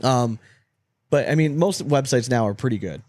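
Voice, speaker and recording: A man's voice: medium pitch, normal speed, darkish tone, no room sound, studio quality. A man co-hosting a casual sports podcast, talking in a rambling way and repeating phrases for emphasis. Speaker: podcast co-host.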